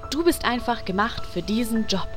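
A woman's voice: on the high side, lively intonation, normal speed, faint music behind, lightly acted. A woman playing an insistent gamer in a parody audio play, urgently coaxing and instructing a teammate. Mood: coaxing, dry. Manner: urgent, persuasive.

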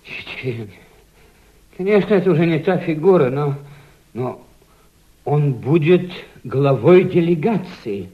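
An actor playing a courteous, brooding male plotter in a radio play, speaking deliberately. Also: on the high side, lively intonation. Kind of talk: Soviet radio play acting. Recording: dull old radio recording.

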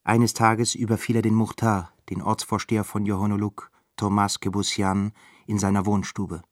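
A man narrating a radio play in a measured, composed way. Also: lowish voice, flat delivery, dry recording, studio quality. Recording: narration with clear music beneath.

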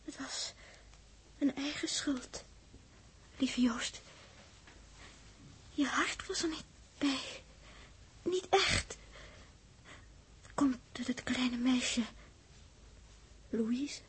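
Frail, whispery, high-voiced young woman. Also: fairly bright tone, full character voice. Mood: weak, forgiving. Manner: faint, breathy, halting. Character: gentle, dying young woman, frail and resigned. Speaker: radio drama actor. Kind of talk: 1960s Dutch radio play acting. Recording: 1960s radio drama recording.

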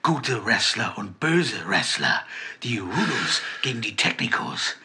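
A harried screenwriter in a radio drama. His voice is very sharp and tense with a lot of creak, and he answers defensively, dry and irritated. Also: on the low side, sing-song, unhurried, exaggerated voice. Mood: wary, irritated. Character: wary, irritated male screenwriter under interrogation. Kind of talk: German radio play acting.

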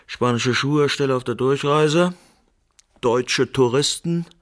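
An actor plays an unsentimental man who minds the money in a radio drama. His mid-pitched voice is dry and matter-of-fact, with a needling edge. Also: measured pace, close to an everyday voice. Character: dry, unsentimental, penny-counting manager of a diva. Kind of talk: German radio play acting.

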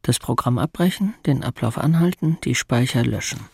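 An actor playing a sober, geeky systems consultant stunned by love in a radio drama, speaking in a wry, halting way. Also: male voice, mid-range voice, expressive, creaky, a little hiss or hum. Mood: exasperated.

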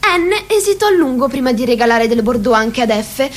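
A woman's voice: on the high side, lively intonation, fairly quick. A woman acting as a narrator in a radio drama, laying things out in a precise, expository way. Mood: explanatory. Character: female narrator dissecting a regime's pecking order.

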